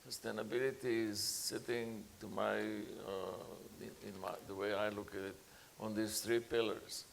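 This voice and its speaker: A man speaking on a conference panel as a professor, halting often with fillers and restarts. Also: lowish voice, gravelly, a little hiss or hum.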